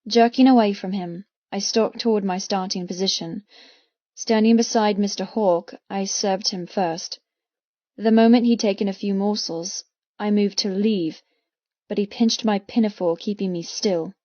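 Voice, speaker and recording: A female audiobook narrator with a medium-pitched voice reads first-person fiction expressively and intensely. The recording is very dry and slightly muffled, with no room around the voice. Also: steady tone, moderate pace, dark tone, dry recording. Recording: slightly muffled, dry close studio sound.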